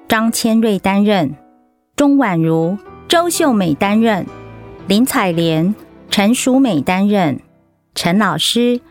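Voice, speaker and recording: A woman formally announcing scripted radio drama credits. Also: medium pitch. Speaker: announcer reading cast credits. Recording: music under the voice.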